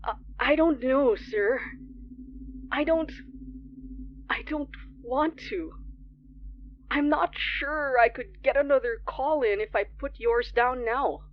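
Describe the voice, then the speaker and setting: An anxious late-night radio DJ speaks in a fairly high, hesitant voice, polite yet wary. The horror audio drama recording is slightly dull. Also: female voice, takes it slowly, pauses often, slight background noise. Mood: hesitant, worried.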